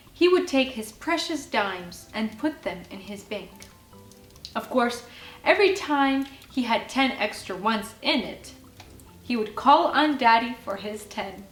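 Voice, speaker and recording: A woman reading a children's bedtime story as an expressive narrator. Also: fairly high pitch, slow pace. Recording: roomy sound with faint music behind.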